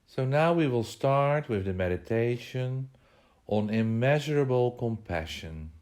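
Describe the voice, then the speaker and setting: A man leading a guided meditation, giving measured instructions in short phrases with pauses between them. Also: mid-range voice, expressive, a little mellow.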